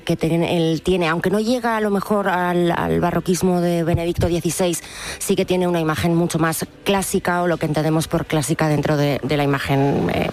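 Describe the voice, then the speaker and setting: A woman from gives conversational radio commentary, often repeating and rephrasing as she goes. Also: lowish voice.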